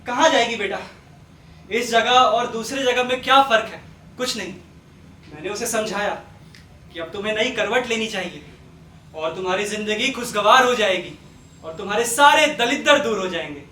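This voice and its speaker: Earnest, coaxing family man, played by a male stage actor; bright projected voice, picked up from far off. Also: high voice, moderate pace, pauses often. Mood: calm, reflective. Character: earnest, persuasive family man courting a troubled woman. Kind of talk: Hindi stage play acting, projected. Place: theatre stage, recorded from a distance. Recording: echoey stage, distant mic, faint noise.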